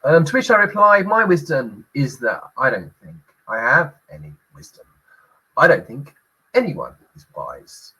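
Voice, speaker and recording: A middle-aged man hosting a business talk show, speaking with a croaky voice as he recovers from illness, hesitant with many fillers. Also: mid-range voice, big pitch swings, measured pace, pushed and bright, rough recording. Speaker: host.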